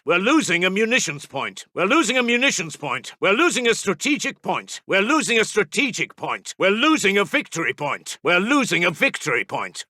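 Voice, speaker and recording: A forceful British army officer delivers battlefield reports in a Second World War video game. His delivery is clipped and military, with very animated swings in pitch. The recording is close and dry, keeping every brisk order crisp and stiff-lipped. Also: dry recording. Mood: alarmed, urgent. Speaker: military intelligence announcer in a strategy game.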